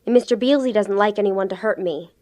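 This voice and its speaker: A woman's voice: medium pitch, quick pace, darkish tone, natural voice. A woman playing a defiant little boy who is devoted to an imaginary friend, in an audio drama.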